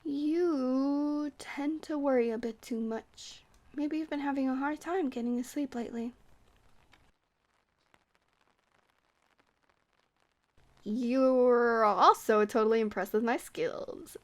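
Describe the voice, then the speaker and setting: A woman's voice: sits high, unhurried. A woman playing a shy, friendly vampire who rambles hesitantly and flirts sweetly in an ASMR roleplay. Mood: playful, teasing. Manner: hesitant, rambling, soft-hearted, awkwardly stammering.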